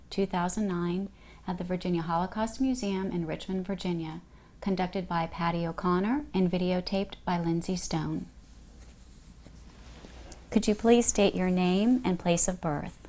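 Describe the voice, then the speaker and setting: A woman conducting an oral history interview, asking questions in a calm, formal way. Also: lowish voice, moderate pace. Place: museum interview room.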